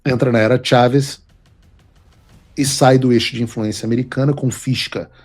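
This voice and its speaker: A man's voice: medium pitch, steady tone, normal speed, a little mellow. A man from Brazil giving emphatic, lecturing political commentary as host of his show. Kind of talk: opinion commentary.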